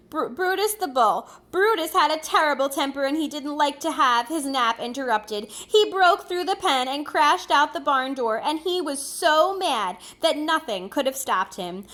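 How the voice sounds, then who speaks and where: A woman with a high voice reads a picture book to small children. She takes her time, pausing over words and adding small asides, with an expressive teacherly delivery. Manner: slow, deliberate, expressive, pausing over words for young listeners. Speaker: preschool teacher reading aloud. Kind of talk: animated children's read-aloud with asides.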